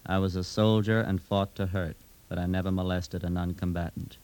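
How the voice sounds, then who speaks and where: An actor playing a proud, curt outlaw ex-soldier reading a formal letter in a measured, declamatory 1950s radio drama style. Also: male voice, deep voice, flat delivery, darkish tone. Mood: proud, firm.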